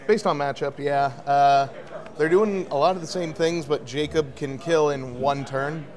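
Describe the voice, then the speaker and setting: A man with a slightly high, strained and scratchy voice giving casual color commentary. He breaks down matchups in an analytical, matter-of-fact way. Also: a little slow. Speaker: tournament commentator. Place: card shop event.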